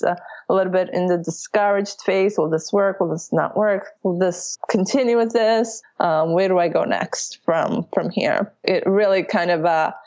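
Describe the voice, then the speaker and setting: A woman's voice: fairly low pitch, darkish tone. Italian-born woman with lo-fi sound. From Italy. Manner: candid, talks with many fillers and restarts. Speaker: coaching client, small business owner. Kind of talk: casual conversational interview. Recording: dull lo-fi audio.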